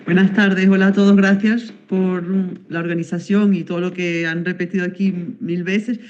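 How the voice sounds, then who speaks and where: A woman's voice: fairly low pitch, fairly even intonation, normal speed, a little mellow, a little hiss or hum. Hesitant woman on a dull call. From Venezuela. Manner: hesitant, many fillers and self-corrections. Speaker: researcher presenting results. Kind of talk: academic conference presentation.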